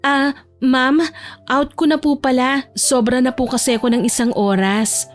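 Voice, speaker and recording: Woman acting a timid young female trainee, high-voiced. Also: fairly even intonation, measured pace. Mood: hesitant protest. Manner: deferential, apologetic. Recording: music bed behind the voice.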